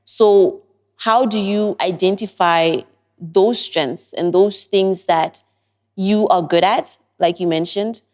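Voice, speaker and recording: A woman's voice: medium pitch, takes it slowly. A young woman with an American-sounding accent, giving earnest, reflective advice as a guest on a podcast interview.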